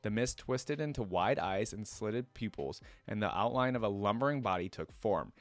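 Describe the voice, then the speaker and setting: Low-voiced man reading and reacting casually. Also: animated, moderate pace, dry recording. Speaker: reaction video host. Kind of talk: reading lore aloud with casual fan commentary.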